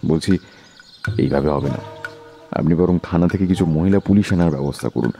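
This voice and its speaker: An actor playing a shrewd detective in an audio drama, speaking in a calm, measured, politely firm way. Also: male voice, sits low, vocal fry, faint music behind. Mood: thoughtful. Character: calm, commanding male detective, shrewd and composed.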